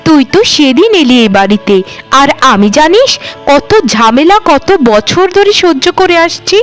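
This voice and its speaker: A woman's voice: high-pitched, very animated, normal speed, soft background music. A woman voicing a weary, aggrieved village sister-in-law in a melodramatic cartoon, complaining plaintively. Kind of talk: cartoon voice acting, melodramatic dialogue.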